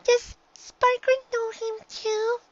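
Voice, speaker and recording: A man's voice: extremely high pitch, completely flat delivery, slow pace, fairly bright tone, muffled recording, a little hiss or hum, voice pushed up, full character voice. A man voicing an earnest, anxious little unicorn filly in a fan fiction audiobook, with plaintive, questioning delivery. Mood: shocked.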